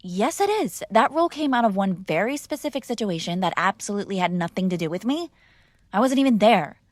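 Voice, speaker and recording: A woman's voice: mid-range voice, expressive, brisk, dry recording, lightly acted. A woman playing a mob boss's spoiled, bratty young adult daughter in an intimate roleplay, speaking casually with sarcastic, exasperated argument. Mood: defensive, bratty. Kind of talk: intimate ASMR roleplay acting, one-sided conversation.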